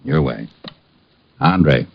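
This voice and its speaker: A man's voice: a bass voice, animated, drawn-out words, gravelly, breathy edge, full character voice. A man playing a weary, exasperated police lieutenant in an old-time radio drama. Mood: resigned. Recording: muffled, dry vintage radio recording.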